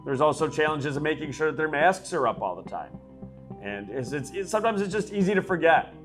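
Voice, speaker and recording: A man's voice: a little high, expressive, a little fast, soft background music. A man in his thirties, a freshman football coach, explaining matter-of-factly in a documentary interview. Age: 30s.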